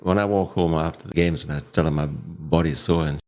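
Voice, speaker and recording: An older man from Malaysia, a podcast guest, telling his life story in an unhurried, plain way, sometimes repeating words as he starts a thought. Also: rumbling low, fairly even intonation, darkish tone. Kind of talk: relaxed storytelling in conversation.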